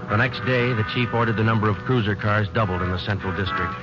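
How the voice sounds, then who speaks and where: A man doing a terse, deep-voiced radio detective. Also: fast, natural voice. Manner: clipped, matter-of-fact and terse. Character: low-voiced weary male police detective narrator. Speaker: detective and narrator. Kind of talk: 1940s radio drama acting. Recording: muffled old radio broadcast with music.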